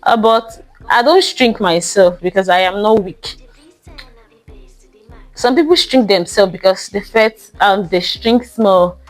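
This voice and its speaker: A young woman hosting a casual monologue podcast, talking in a loud, emphatic way and drawing out the words she stresses. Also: medium pitch, takes it slowly, faint music behind, low-quality recording. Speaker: podcast host.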